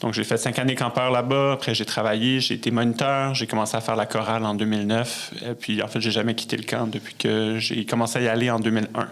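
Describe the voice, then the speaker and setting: Creaky-voiced Quebec French man in his thirties. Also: mid-range voice, flat delivery, fast talker, hardly any pauses, a bit bright. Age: 30s. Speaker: guest, classical musician and conductor. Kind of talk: casual interview chat.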